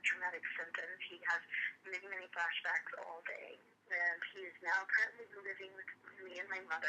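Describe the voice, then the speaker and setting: A woman's voice: lowish voice, pushed and bright, a little hiss or hum. A woman leaving a long, earnest voicemail as a caller, in formal, wordy phrasing, over the telephone. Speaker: caller, advocate for a friend. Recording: tinny, harsh telephone voicemail recording.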